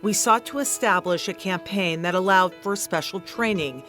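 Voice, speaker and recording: A woman reading scripted promo narration in a clear announcer style. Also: fairly low pitch, moderate pace, a bit bright, soft background music.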